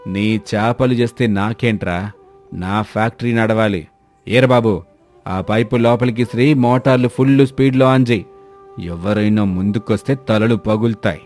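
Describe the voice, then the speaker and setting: A man's voice: fairly low pitch, normal speed, a little mellow, soft background music, close to an everyday voice. A man voicing an arrogant, greedy contractor who threatens and orders people around in a moral story. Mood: threatening. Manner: commanding, insulting. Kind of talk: acted storybook dialogue.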